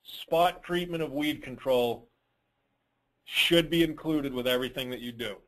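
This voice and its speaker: A man's voice: medium pitch, a little slow, fairly bright tone, low-quality recording. A man coaching informally on a call, answering questions in a plain-spoken, advisory way with emphatic points. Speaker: lawn care business coach hosting a dealer call.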